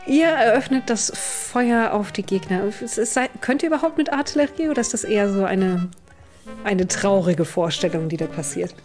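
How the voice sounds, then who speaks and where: A woman's voice: medium pitch, normal speed, darkish tone, soft background music. A woman in her thirties narrating a tabletop game as game master.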